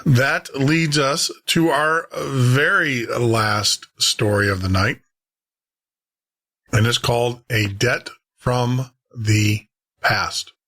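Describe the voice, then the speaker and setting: A man's voice: mid-range voice, extremely slow, bright, forceful tone. A man hosting a show, chatting to listeners in an informal, rambling way. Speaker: podcast host.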